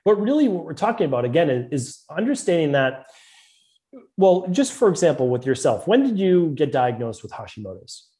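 A man's voice: on the high side, very animated, a little fast, dark tone. A man with a Boston accent, a naturopathic doctor explaining hormones and lab testing in an earnest, detailed way as a podcast guest. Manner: earnest, detailed, fluent medical explaining with frequent restarts. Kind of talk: explanatory interview talk.

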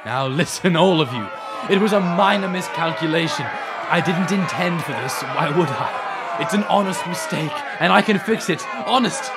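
An actor playing a desperate, self-justifying schemer in a fantasy audio drama, indignant and pleading. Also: male voice, a little high, lively intonation, moderate pace, a loud crowd, heavy background noise. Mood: desperate protest.

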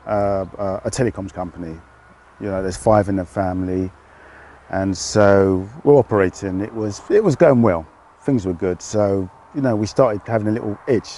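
A middle-aged British man, a fish farmer, explaining his work outdoors in a relaxed, hesitant way. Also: fairly low pitch. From United Kingdom. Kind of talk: casual interview answers.

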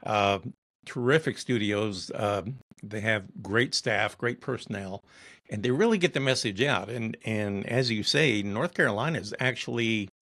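A man's voice: on the low side, measured pace, a bit bright, no echo, clean studio sound. A man in his sixties with an American accent, conducting a conversational interview as the host. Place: small indoor office or studio.

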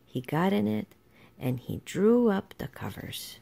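A woman's voice: medium pitch, big pitch swings, measured pace, gravelly, dark tone. A woman reading a children's storybook aloud as a narrator. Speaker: storybook reader.

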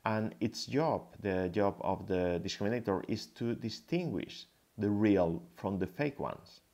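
A man from Spain with a Spanish accent giving an explanatory slide lecture, pausing hesitantly as he goes. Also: on the low side, a little mellow. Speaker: guest lecturer, tech founder.

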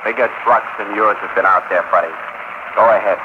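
An actor playing a curt, gruff backwoods gas station attendant in a 1940s radio drama, terse and folksy. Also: male voice, on the low side, fairly even intonation, fairly quick, natural voice. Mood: curt, wary. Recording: very noisy, muffled 1940s radio broadcast recording.

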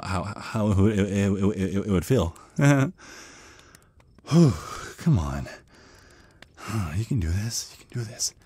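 A man's voice: lowish voice, a little mellow, dry recording, close to an everyday voice. A man playing a shy, nervous, smitten vampire on a first date in an ASMR roleplay, stammering and hesitant. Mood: flustered, awkward.

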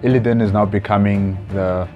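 Low-voiced young man musing over music. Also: fairly even intonation, moderate pace, highs cut off. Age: young adult. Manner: hesitant, musing. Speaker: reaction video host. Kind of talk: casual commentary to camera. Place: small plain room indoors. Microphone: lapel mic.

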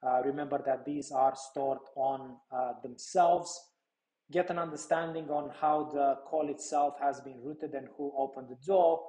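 A man in his thirties giving a product demo walkthrough in a measured, explanatory manner. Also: mid-range voice, fairly even intonation, warm tone. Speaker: product presenter. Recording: echoey room sound.

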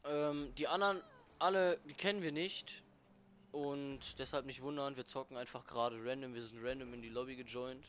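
A young man giving casual, excitable commentary while playing a racing game, swearing freely and bursting into shouts. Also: mid-range voice, normal speed, slight background noise. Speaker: gaming co-host (Max).

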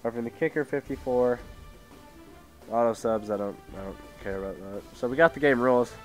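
A man's voice: mid-range voice, brisk, faint music behind. Sick teenage boy with a sore throat. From Idaho, USA. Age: teens. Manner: rambling, self-interrupting, reads out settings. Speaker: gaming YouTuber. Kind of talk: casual gameplay commentary. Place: at home. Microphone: headset mic with tangled cords.

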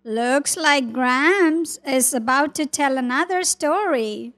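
A woman's voice: high-pitched, slow, a bit bright. A woman voicing an inquisitive, knowledgeable animal character in a children's puppet show.

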